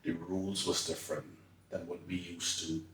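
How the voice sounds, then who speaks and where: Male interviewee, Turks and Caicos accent, deep voice, repeats for emphasis, distant from the mic in a reverberant space. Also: level tone, normal speed, fairly bright tone. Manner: emphatic, often repeats phrases. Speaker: interview guest. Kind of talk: personal life-story interview. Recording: echoey room, distant mic.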